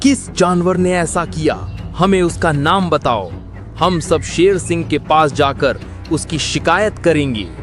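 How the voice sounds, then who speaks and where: A man's voice: on the high side, unhurried, close to an everyday voice. A man voicing a hot-tempered, confrontational jungle predator in a cartoon. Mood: concerned. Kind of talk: Hindi cartoon voice acting. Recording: background music behind the voice.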